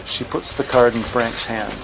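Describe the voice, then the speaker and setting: Male narrator for crime audio drama: mid-pitched, gravelly, deliberate scene-setting with noise underneath. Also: steady tone. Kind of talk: scripted present-tense scene narration.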